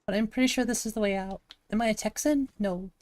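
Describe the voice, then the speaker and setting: A woman from Montana with a gravelly voice, chatting casually to her live-stream audience while she plays a game, thinking aloud and answering chat. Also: mid-range voice, fast talker, darkish tone. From Montana, USA. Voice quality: gravelly, slightly raspy.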